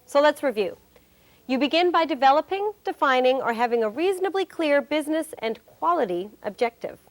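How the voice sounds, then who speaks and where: A woman's voice: sits high, lively intonation, fairly quick. A woman acting as a wry, cheerful presenter, giving scripted instruction in a corporate training film. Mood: instructive.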